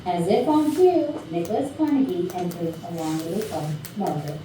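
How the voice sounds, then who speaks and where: A woman's voice: lowish voice, normal speed, warm tone. A woman reading out stage directions as scripted narration at a play rehearsal. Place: indoor rehearsal room. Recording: very echoey rehearsal room, distant mic, noisy.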